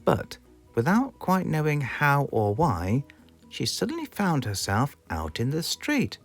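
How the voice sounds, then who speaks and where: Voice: medium pitch, measured pace. A British narrator reading a children's story expressively, drawing the listener in. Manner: expressive, asks the listener questions. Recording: music playing behind the voice.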